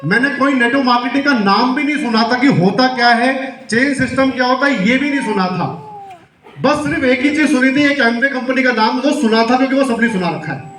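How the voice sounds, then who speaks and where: High-voiced man speaking in an echoey hall. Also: a little fast, fairly bright tone, recorded from a distance. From Delhi, India. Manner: engages the audience with questions. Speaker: seminar speaker. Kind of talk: motivational seminar talk. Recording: echoey hall, mic heard from afar.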